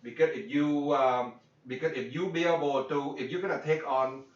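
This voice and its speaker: A man's voice: on the high side, steady tone, moderate pace. Man coaching in an echoey room. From Vietnam. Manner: repetitive, many fillers and tag questions, non-native grammar. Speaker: business coach. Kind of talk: workshop talk. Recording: echoey room, distant dull mic.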